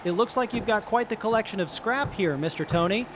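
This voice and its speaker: A man's voice: high-pitched, a little fast, close to an everyday voice. A man playing a polite, eager tinkerer in an audio drama, courteous and enthusiastic. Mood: eager, impressed. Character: polite, eager young tinkerer who loves tech. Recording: noisy background mix.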